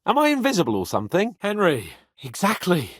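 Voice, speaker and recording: A man's voice: high voice, wildly swooping pitch, brisk, slightly rough, dry recording, clean studio sound, full character voice. An actor playing a brash young medieval nobleman in a video game, with a British accent and a witty, teasing, haughty manner. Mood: indignant, bossy.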